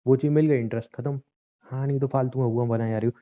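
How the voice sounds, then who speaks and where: A man's voice: mid-range voice, steady tone, quick pace, natural voice. Casting: man as cold, unapologetic husband for a home-made audio drama, dismissive and testy, thin telephone-quality audio. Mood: contemptuous. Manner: dismissive, brushing off accusations. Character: callous, shameless husband, dismissive and irritated. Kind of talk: hobby audio drama, staged husband-wife quarrel. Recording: thin tinny phone line.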